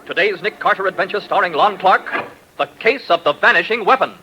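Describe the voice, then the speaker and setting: A man's voice: high voice, expressive, brisk, background noise. A man with an American accent announcing in a punchy, polished 1940s radio style. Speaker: announcer and narrator. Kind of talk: 1940s radio announcing, scripted ad read and narration.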